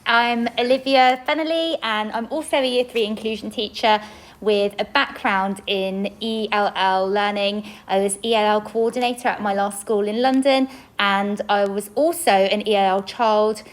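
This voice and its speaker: A woman's voice: mid-range voice, steady tone, moderate pace. A young adult woman from Poland, a native Polish speaker and an inclusion teacher, earnestly explaining language learning to parents. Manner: earnest, with occasional restarts. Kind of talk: podcast-style school presentation for parents.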